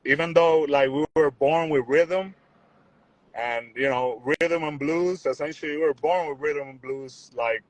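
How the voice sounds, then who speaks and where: A young man from Puerto Rico, talking in a relaxed, rambling way as a musician guest on a video call. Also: a little high, a little slow, no echo, rough recording. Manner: relaxed, rambling, repeats words, says "you know what I'm saying". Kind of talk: casual interview chat.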